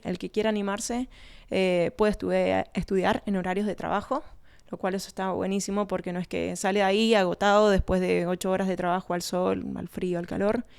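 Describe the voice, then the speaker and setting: Interview guest: Argentine woman, smooth clear voice, conversational delivery. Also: mid-range voice, darkish tone. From Argentina, born in Colombia. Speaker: winery research and communication manager, interview guest.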